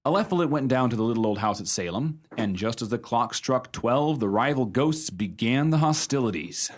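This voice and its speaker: An actor playing a folksy storyteller in a radio play, spinning a ghost yarn in a wry, unhurried way. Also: male voice, medium pitch, expressive, lightly acted. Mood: wry, narrating.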